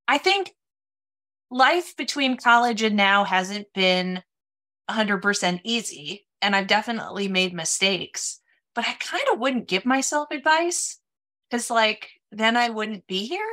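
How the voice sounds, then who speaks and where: A woman in her thirties, raised in Minnesota, chatting as a guest on a video-call podcast, animated and full of casual fillers. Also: medium pitch, measured pace. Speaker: podcast guest, sales executive. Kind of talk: casual interview chat. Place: home office, small room.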